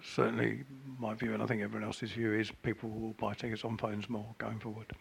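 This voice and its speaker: Gravelly guy, pretty monotone, answering questions at a meeting. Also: on the low side, a little fast, a little hiss or hum. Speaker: organizer on the panel. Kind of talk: meeting discussion.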